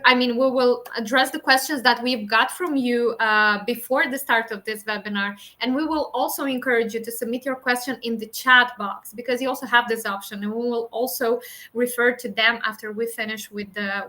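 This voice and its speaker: Woman, webinar host, very bright voice, fairly high, chatty with fillers, video call. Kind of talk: hosting a webinar interview.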